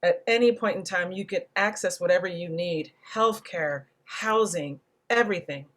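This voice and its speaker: A woman hosting a panel discussion, speaking in a reflective, deliberate way and carefully spelling out what she means. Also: lowish voice, fairly bright tone. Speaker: panel host and moderator.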